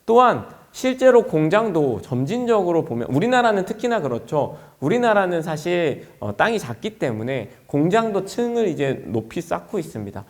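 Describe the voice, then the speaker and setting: Guy doing a conference product talk, kinda high voice, really lively up and down, explaining stuff step by step. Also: normal speed, hardly any pauses. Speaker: company executive presenting at an industry forum.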